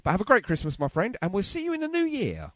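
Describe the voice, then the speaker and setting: A man's voice: sits high, huge pitch swings, fast talker, fairly bright tone, dry recording, studio quality. A man hosting a casual radio interview in a chatty, effusive way, often repeating his phrases. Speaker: radio show host.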